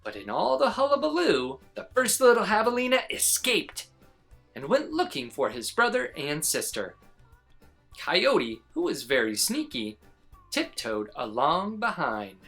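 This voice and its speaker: A woman reading a children's picture book aloud as an expressive storyteller. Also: lowish voice, a little slow, faint music behind.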